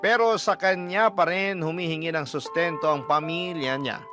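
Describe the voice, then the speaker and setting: A man narrates a personal letter in radio storytelling style. His voice is a little higher than average, earnest and confiding. Music plays loudly behind him throughout. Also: fairly even intonation, normal speed. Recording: loud music under the voice. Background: prominent background music.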